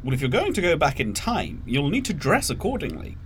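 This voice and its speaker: An actor playing a courteous, reassuring gentleman attendant in an audio drama, speaking politely and formally with gentle concern. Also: fairly high pitch, huge pitch swings, brisk, a little hiss or hum. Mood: courteous, pleased.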